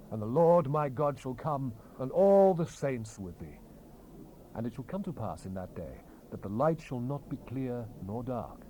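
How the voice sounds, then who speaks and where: A man's voice: mid-range voice, warm tone. A man giving a solemn, declamatory dramatized reading of the Bible as narrator. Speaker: scripture narrator. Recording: noisy 1970s cassette rip.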